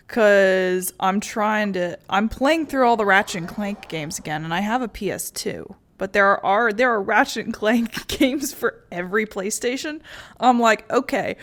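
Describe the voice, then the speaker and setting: A woman's voice: medium pitch, fairly bright tone. A woman game streamer giving casual, rambling commentary in a blunt, sweary, sarcastic way. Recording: music behind the voice.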